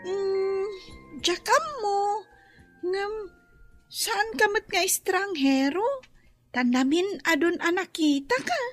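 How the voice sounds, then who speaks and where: Very high-pitched little Ilocano flower girl. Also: slow, pauses often, faint music behind. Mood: wary. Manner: childlike, frank, polite. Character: chatty innocent young girl selling jasmine garlands. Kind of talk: Ilocano radio drama acting.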